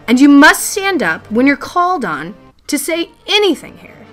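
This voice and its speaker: Sharp, scornful schoolteacher, high and swooping. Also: female voice, very animated, moderate pace, faint music behind. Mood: brisk, dismissive. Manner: theatrical scolding, haughty and sharp. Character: strict, scornful woman schoolteacher, impatient and mocking. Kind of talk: family radio play acting.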